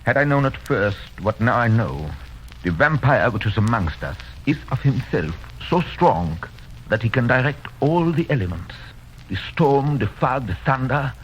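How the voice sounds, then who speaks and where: Guy doing grave old-radio vampire hunter doc, halting and deliberate, dull faintly hissy recording. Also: medium pitch, big pitch swings, lightly acted. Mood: urgent, grave. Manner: solemn, deliberate, halting foreign phrasing. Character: grave learned foreign vampire hunter doctor, solemn and commanding. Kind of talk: 1930s radio drama acting.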